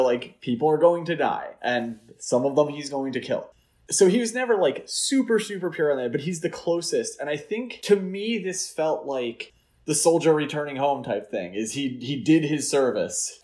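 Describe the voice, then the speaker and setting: A man co-hosting a podcast, talking through his opinions in a casual, explanatory, conversational way. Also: a little high. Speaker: podcast co-host and article writer. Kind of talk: casual film discussion podcast.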